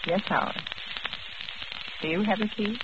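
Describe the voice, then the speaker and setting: A woman in a 1940s radio drama playing a frightened woman who narrates, talking in tense, halting bursts while she tries to sound calm. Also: medium pitch, close to an everyday voice. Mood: forced calm. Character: frightened middle-aged woman, trying to stay calm. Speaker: narrator and lead. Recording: dull old radio recording with loud hiss.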